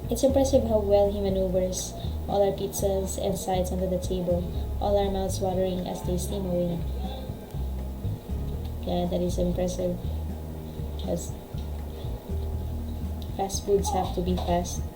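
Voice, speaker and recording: A woman's voice: fairly low pitch, level tone, unhurried, long pauses, rough recording. A woman doing a let's play, reading visual novel narration aloud with casual asides. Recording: music playing behind the voice. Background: music.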